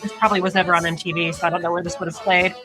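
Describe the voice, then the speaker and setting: A woman's voice: on the low side, flat delivery, very fast. A woman co-hosting a podcast, chatting casually about music. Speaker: podcast co-host.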